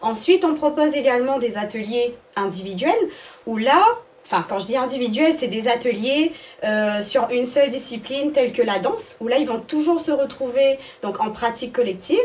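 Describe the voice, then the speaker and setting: Female interview guest, school director, fairly high voice, explanatory with hesitations, recorded slightly off-mic. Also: animated, normal speed, darkish tone, distant mic.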